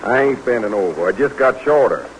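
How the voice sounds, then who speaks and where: A man's voice: mid-range voice, expressive, brisk, a little hiss or hum, close to an everyday voice, low-quality recording. A man playing a weary, wisecracking foot soldier. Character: weary, wisecracking male army private on a march. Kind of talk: 1940s radio acting.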